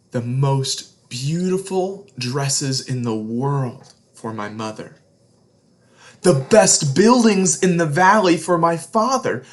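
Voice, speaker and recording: A man reading a picture book aloud to children as a read-along host, with deliberate, emphatic phrasing. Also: a little high. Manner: deliberate phrasing, emphatic, explains words to young listeners.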